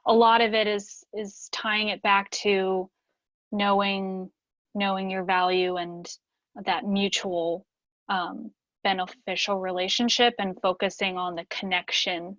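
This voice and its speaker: A woman's voice: medium pitch, flat delivery, measured pace, fairly bright tone, no echo, lo-fi sound. A woman moderating a webinar Q&A, polite and hesitant with frequent ums.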